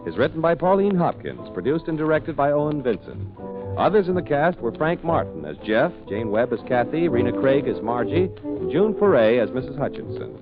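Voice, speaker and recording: A man's voice: medium pitch, sing-song, fairly quick, music in the background. A man with an American accent announcing from a script in 1940s radio style. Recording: muffled old radio broadcast recording.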